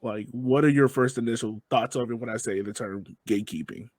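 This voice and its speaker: A man's voice: medium pitch, a little fast, darkish tone, no room sound, studio quality. A man hosting a casual podcast interview, talking informally and thinking aloud as he frames his questions. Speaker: podcast host.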